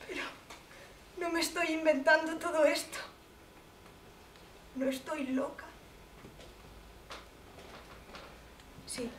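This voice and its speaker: An actress from playing a poor, lonely, half-mad old woman who pleads slowly on the phone, turning between bitterness and tears. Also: high-pitched, roomy sound, distant mic, slight background noise. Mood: quiet grief. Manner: slow, pleading, swinging between bitter and tearful. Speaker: actress in a monologue. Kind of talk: stage monologue.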